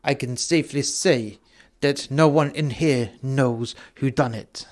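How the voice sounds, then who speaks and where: A man's voice: medium pitch, a little slow, lightly acted. A man voicing a huge, threatening Russian gangster with a put-on Russian accent in a spoken story. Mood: cold threat. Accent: attempted Russian.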